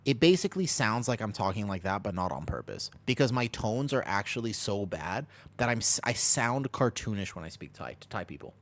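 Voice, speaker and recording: A rambling American streamer with a slightly low, relaxed voice. He talks casually to chat while playing, drifting from topic to topic and laughing easily. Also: brisk, few pauses. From United States.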